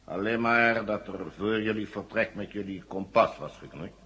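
A man's voice: on the low side, moderate pace, pushed and bright. A man acting a smug, coolly mocking villain who holds captives in a 1970 Dutch radio drama. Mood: cold, taunting. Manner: sardonic, condescending, controlled.

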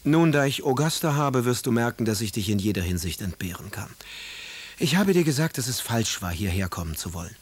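An actor playing a cruel, scornful young aristocratic poet, haughty and cutting, in a radio drama. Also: male voice, mid-range voice, very animated, brisk, breathy edge. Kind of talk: German radio drama acting.

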